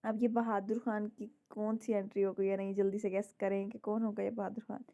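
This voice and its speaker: Hoarse woman reading a novel aloud. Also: medium pitch, flat delivery, brisk, mellow, muffled recording. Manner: dramatic storytelling. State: hoarse, sore throat. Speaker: novel narrator.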